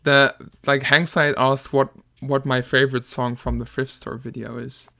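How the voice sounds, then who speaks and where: A man's voice: mid-range voice, flat delivery, moderate pace, creaky, bright, forceful tone, faint music behind. Laid-back Danish-accented young man. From Denmark. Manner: laid-back, laughs often, hesitant restarts. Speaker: music producer streamer. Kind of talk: casual chat while producing.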